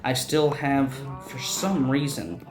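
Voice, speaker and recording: A man hosting casual game commentary, enthusiastic and rambling, with a raspy voice and a lingering cough. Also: mid-range voice, takes it slowly, reverberant, music in the background. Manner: enthusiastic, rambling, many restarts. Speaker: host.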